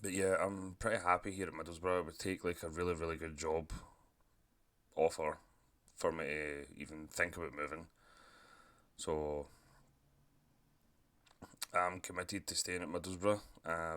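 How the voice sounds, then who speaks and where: Deep-voiced man with a sore throat. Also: moderate pace, long pauses. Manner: relaxed, chatty, clears throat often. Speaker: gaming YouTuber. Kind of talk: casual commentary over a football management game.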